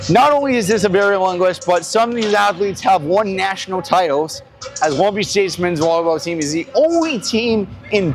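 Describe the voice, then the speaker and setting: Higher-pitched man hosting a casual tour. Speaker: show host and tour guide. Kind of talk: casual on-camera tour and interviewing. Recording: music behind the voice. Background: music.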